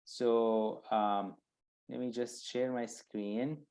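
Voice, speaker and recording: A man with a Palestinian accent, an interview guest telling personal stories informally, haltingly and with repeated words. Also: mid-range voice, steady tone, a little mellow. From Bethlehem, Palestine.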